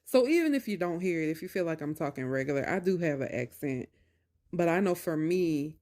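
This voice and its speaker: Low-pitched woman telling stories casually. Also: fairly quick. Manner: emphatic, animated, repeats phrases for stress; conversational, colloquial phrasing. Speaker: video creator talking to viewers about narcissistic abuse. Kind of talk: casual personal storytelling to viewers.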